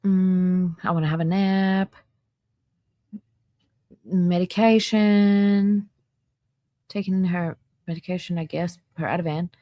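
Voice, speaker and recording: A woman's voice: on the low side, fairly even intonation, takes it slowly, pauses often, no room sound, highs cut off. A woman hosting a casual reaction livestream, reading aloud in an informal way with offhand asides. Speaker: livestream host.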